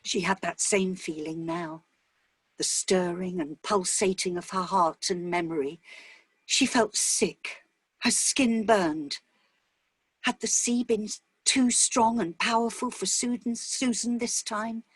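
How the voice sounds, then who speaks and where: Woman, story narration, slow pace, medium pitch, dry close recording. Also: slightly rough, no echo. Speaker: short story reader. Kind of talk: scripted narration of a dramatic short story.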